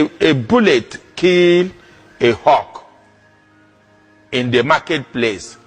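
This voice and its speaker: A man with a Nigerian accent making a deliberate, exhortative public address and repeating his phrases. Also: medium pitch, long reverb tail. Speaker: community speaker. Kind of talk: public address calling for unity.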